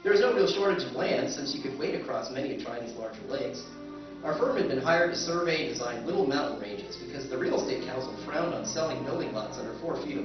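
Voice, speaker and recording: A man's voice: a little high, close to an everyday voice. An actor playing a wry, skeptical planetary surveyor who tells his own tale in a staged old-time radio drama. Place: convention stage. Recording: echoey stage recording, distant and dull.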